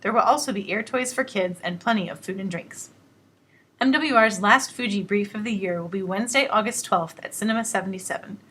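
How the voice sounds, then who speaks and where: A woman reading scripted announcements about base events in an even promo style. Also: medium pitch, brisk, breathy edge.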